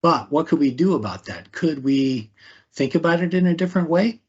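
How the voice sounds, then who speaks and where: A man's voice: on the high side, expressive, moderate pace, husky, lo-fi sound. A man leading a talk on privacy, posing rhetorical questions and often tagging them with "right?". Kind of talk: conversational presentation.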